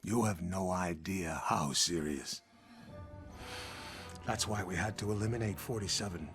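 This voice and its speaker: An actor playing a shrewd, grave American intelligence chief who speaks in a measured, ominous, deliberate way in a game cutscene. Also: male voice, deep voice, fairly bright tone. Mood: grave, insistent. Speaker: intelligence official character in a video game cutscene. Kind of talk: dramatic game cutscene dialogue. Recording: dramatic music behind the voice.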